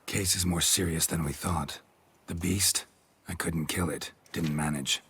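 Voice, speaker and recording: Very deep, deadpan monster hunter. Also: male voice, unhurried, husky, exaggerated voice. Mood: terse, grave. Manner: clipped, deadpan, drops sentence openings. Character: stoic gruff male monster hunter, weary and matter-of-fact. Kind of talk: video game voice acting.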